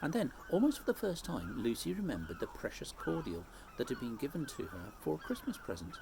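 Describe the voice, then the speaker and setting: Animated man reading a storybook aloud. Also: mid-range voice, fairly quick, gravelly, background noise. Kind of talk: expressive children's audiobook-style read-aloud.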